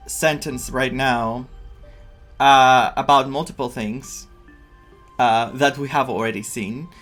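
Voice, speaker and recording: Male game streamer, average pitch, casual commentary, musing, halting, faint background music. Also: a bit bright. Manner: musing, halting, thinking aloud. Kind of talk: casual playthrough commentary, some reading of dialogue.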